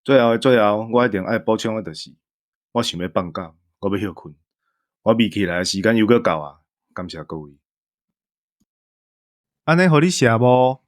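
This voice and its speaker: A man's voice: on the low side, lively intonation, normal speed, long pauses. A man with a Taiwanese accent, an amateur voice actor, giving a casual farewell talk to listeners. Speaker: amateur voice actor on an audio drama team.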